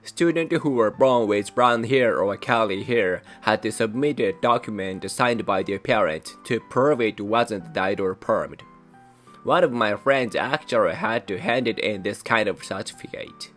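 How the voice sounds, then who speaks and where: A young man from Japan, a native Japanese speaker with a Japanese accent, hosting his channel in measured, careful scripted narration. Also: fairly low pitch, lively intonation. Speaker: channel host. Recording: soft music behind voice.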